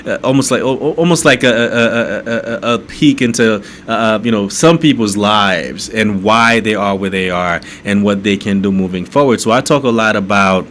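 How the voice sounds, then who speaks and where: A man from Haiti with a lowish voice, talking earnestly as a podcast guest. He keeps checking in with a "right?" and restarts his sentences as he goes. A faint noisy hum sits behind him. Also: normal speed, hardly any pauses, fairly bright tone. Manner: earnest, repeats "right?" often, stumbles and restarts. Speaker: guest author. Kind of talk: conversational podcast interview.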